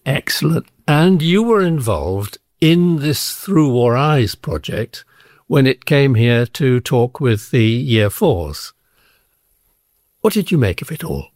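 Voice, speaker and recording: A man's voice: medium pitch, very animated, takes it slowly, a little mellow, no echo, studio quality. A man interviewing a teacher, asking questions hesitantly and stumbling over his words. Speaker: community radio interviewer.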